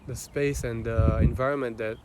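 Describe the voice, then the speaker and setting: A man with an Israeli accent, a native Hebrew speaker, giving an unscripted personal monologue in a halting, reflective manner with long pauses. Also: mid-range voice, steady tone, background noise. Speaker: vlogger.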